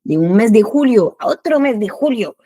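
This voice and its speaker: A woman in her thirties from France, a French teacher who speaks Spanish with an Argentine accent in a casual chat, lively and laughing often. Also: a little high, no echo, clean studio sound. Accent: Argentine Spanish with a French background. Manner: lively, laughs often, quick bursts of speech.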